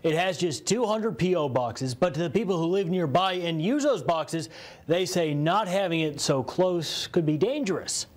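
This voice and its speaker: A man's voice: a little high, animated, normal speed, a little hiss or hum. An American man giving a live news report from a studio.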